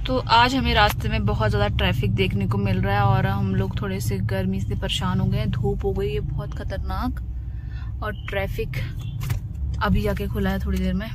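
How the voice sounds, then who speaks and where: A woman's voice: mid-range voice, level tone, normal speed, very noisy. A woman, narrating a casual family vlog from a car and a busy hill-town market, chatty and often giggling with the children. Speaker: vlogger.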